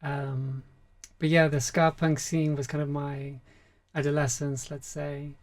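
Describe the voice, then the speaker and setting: A man from Spain talks as a musician guest in an unhurried interview. His delivery stays level and flat, with little rise or fall, and he pauses often to hunt for words. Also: a little high. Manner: soft-spoken, many fillers, flat and even delivery. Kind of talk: relaxed interview conversation.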